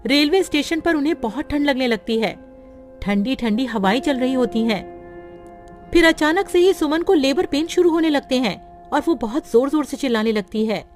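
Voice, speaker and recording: A woman tells a cartoon story for children in a gentle, even storytelling voice that sits high. Soft music plays beneath her. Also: moderate pace. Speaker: narrator.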